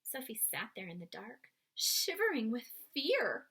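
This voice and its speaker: A woman reading a children's story aloud expressively, with dramatic pauses, speaking to young listeners. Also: on the high side, sing-song, pushed and bright, a little hiss or hum.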